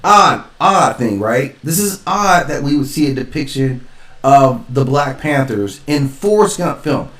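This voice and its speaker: A man's voice: mid-range voice, animated, measured pace, fairly bright tone. A man giving casual spoken commentary as a stream host, rambling and emphatic as he thinks aloud. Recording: roomy echo, distant from mic.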